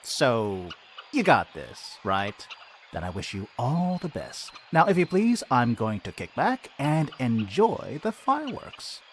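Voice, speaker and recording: A man's voice: mid-range voice, very animated, takes it slowly, slight background noise. A man playing a sardonic, irreverent adventurer in a video game, with a laid-back, sarcastic delivery. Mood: flippant. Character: sardonic, irreverent, self-deprecating male adventurer. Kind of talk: English video game cutscene acting.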